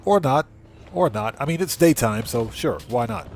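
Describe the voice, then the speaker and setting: A man's voice: medium pitch, lively intonation, normal speed, faint music behind. A man livestreaming a video game, giving casual commentary and muttering to himself as he plays. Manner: muttering to himself while playing.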